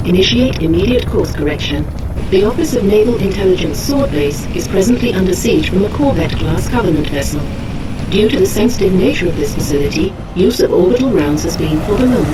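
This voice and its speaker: A lowish female AI voice played by an actress giving military briefings in a video game. She is calm, precise and impersonal, heard through loud engine and rotor noise. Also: a little fast, natural voice. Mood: calm, official. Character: calm, formal female military artificial intelligence.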